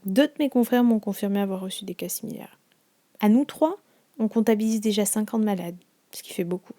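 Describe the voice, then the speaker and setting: A woman playing a composed, attentive 1950s village doctor in an audio drama, speaking in a measured, questioning way. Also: mid-range voice, mellow, no room sound, studio quality, close to an everyday voice. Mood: concerned, reflective. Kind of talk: audio fiction acting, journal narration.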